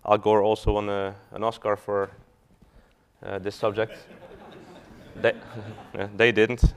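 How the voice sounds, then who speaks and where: A man's voice: fairly low pitch, level tone, unhurried. A man from Belgium, a fund manager giving a business talk to an audience, hesitant with frequent fillers and restarts.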